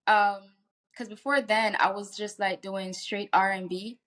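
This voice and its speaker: A woman's voice: mid-range voice, fairly even intonation, measured pace, a bit bright. A woman from Jamaica, a singer talking candidly in a casual interview, laughing easily and restarting her sentences. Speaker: guest singer.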